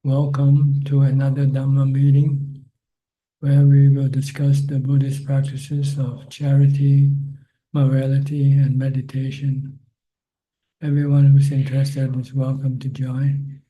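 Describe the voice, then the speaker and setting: A man speaks very slowly in a flat, unvarying tone, opening a meeting with calm, measured words. His voice comes through a dull, lo-fi video call line. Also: medium pitch. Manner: measured, even delivery. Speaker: Buddhist teacher hosting Q&A. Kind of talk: slow, calm meeting introduction.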